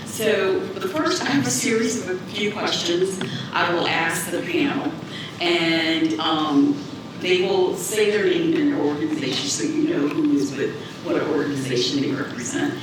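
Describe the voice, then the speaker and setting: A woman moderating a conference panel, pacing her introductions slowly and repeating herself. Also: low voice. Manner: warm-up, slow, repeats herself. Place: conference hall. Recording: echoey hall, distant, background noise.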